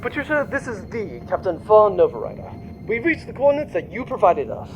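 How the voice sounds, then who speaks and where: Man as a cocky, animated starship captain. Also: high voice, a little hiss or hum, poor audio. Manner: brisk, commanding, flippant. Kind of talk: comic sci-fi audio drama acting.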